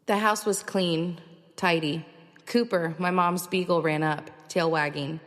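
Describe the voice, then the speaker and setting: A woman's voice: on the low side, fairly even intonation, measured pace, long reverb tail, lightly acted. A woman playing a weary, determined survivor, narrating a first-person audio drama and acting out her dialogue. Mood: tense, hopeful. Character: Ava, weary, determined young woman surviving an alien invasion. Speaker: narrator and lead actor.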